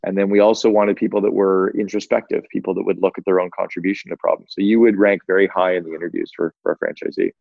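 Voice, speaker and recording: Deep gravelly fast-talking male host. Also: flat delivery, dry recording, phone-quality sound. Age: 50s. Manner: upbeat, talkative, quick to agree. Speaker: podcast host. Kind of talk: casual interview chat.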